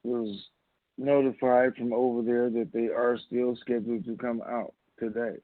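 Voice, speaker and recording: A man's voice: mid-range voice, flat delivery, normal speed, no echo. A man hosting a call-in conference call, talking casually and off the cuff in a plain-spoken, folksy way. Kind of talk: casual unscripted phone talk with callers. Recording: muffled narrow phone line.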